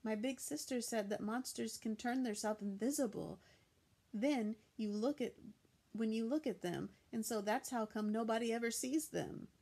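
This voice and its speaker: A woman doing a knowing little schoolgirl from a storybook, explaining things in a calm matter-of-fact way. The voice sits fairly high with a gravelly texture. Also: moderate pace. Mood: serious. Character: serious little schoolgirl, about six. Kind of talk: audiobook character voice.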